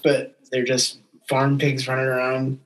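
A man's voice: medium pitch, monotone, a little slow, low-quality recording. A young adult man hosting a casual podcast chat, telling outdoor anecdotes in an informal, enthusiastic way.

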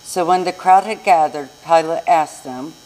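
A woman's voice: low-pitched, moderate pace, dark tone, poor audio. An American woman reading a scripture narrative aloud as narrator in a live church recording. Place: church service.

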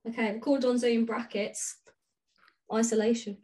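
A woman's voice: a little high, little pitch movement, normal speed, warm tone. A woman chatting casually as co-host of a book podcast, playful and teasing. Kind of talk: casual unscripted book chat.

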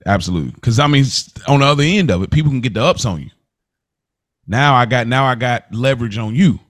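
An American man with a medium-pitched voice talks casually as a podcast host, recorded close and dry. His delivery is slangy and conversational, circling back on phrases to press a point. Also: expressive, quick pace, a bit bright, dry recording. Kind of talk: casual podcast discussion of a TV series.

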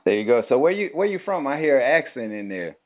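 A man hosts a hip hop interview show in a relaxed, friendly voice full of slang. His voice is mid-pitched, and the sound is narrow and tinny, like a phone call. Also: fast talker. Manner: laid-back, friendly slang. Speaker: podcast host.

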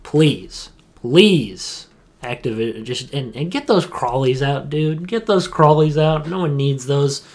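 A man's voice: a little high, takes it slowly, darkish tone. A young adult man co-hosting a podcast, chatting casually and opinionatedly, repeating phrases emphatically for stress. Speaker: podcast co-host.

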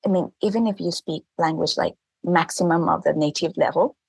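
Husky woman. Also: fairly low pitch, brisk, a little mellow, no echo. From Thailand. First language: Thai. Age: 30s. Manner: earnest, laughs often, restarts phrases. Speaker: guest, language teacher. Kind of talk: casual interview talk.

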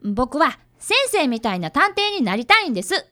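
A woman's voice: high voice, big pitch swings, normal speed, bright, forceful tone, full character voice. A woman playing an earnest, polite fifth-grade boy who is an apprentice detective in a radio drama. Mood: indignant, earnest. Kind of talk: radio drama acting with first-person narration.

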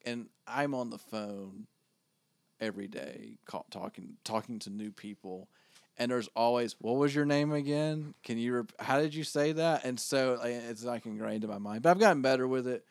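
A man co-hosting a podcast with a low-toned voice, talking in a measured, reflective way. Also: no echo. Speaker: podcast co-host, wedding photographer. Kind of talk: casual podcast chat.

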